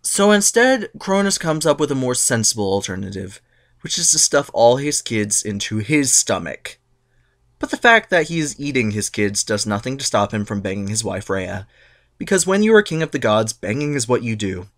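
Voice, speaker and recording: A man's voice: mid-range voice, very animated, normal speed, fairly bright tone. A sarcastic male storyteller with a mid-range voice that swings up and down with animation. He reads myths as a comic, irreverent monologue, punching his jokes hard. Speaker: narrator. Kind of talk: comic dramatic reading.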